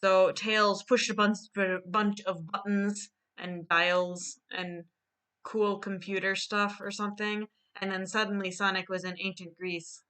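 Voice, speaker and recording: A woman co-hosting a casual chat, retelling a story in a halting, amused way. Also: medium pitch, little pitch movement, sharp tone, clear, resonant tone. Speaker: co-host recapping a drawn story.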